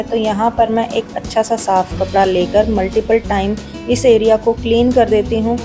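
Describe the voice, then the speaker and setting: A woman with an Indian accent giving a calm, step-by-step voice-over for a home cleaning video. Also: mid-range voice. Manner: explains each step in a steady how-to tone. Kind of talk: calm scripted voice-over narration. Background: background music.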